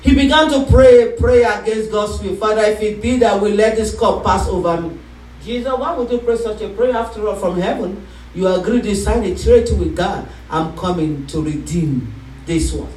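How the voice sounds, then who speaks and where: Woman preacher shouting in an echoey hall. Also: mid-range voice, normal speed, distant mic. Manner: call-and-response preaching, rising to shouts. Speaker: pastor. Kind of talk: sermon. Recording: echoey room, voice from off-mic.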